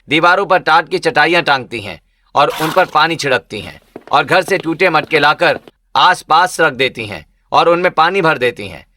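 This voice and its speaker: A man's voice: fairly high pitch, sharp tone, slight background noise. A man narrating a scripted cartoon village story as a storyteller.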